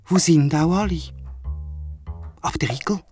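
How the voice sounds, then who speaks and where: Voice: medium pitch, moderate pace, faint music behind, voice pushed up, natural voice. A storyteller voicing an eager, naive, forgetful young male platypus with a Swiss German accent in a children's audio story. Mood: puzzled, dejected. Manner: excitable, naive, questioning.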